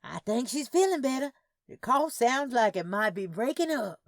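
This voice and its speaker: A woman plays a practical, caring Southern niece in audio fiction. The voice is lifted above narration pitch, with lively, solicitous, down-to-earth delivery and a close, dry sound. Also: normal speed, no room sound. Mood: practical, reassuring. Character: caring, practical Southern woman fussing over elderly aunts.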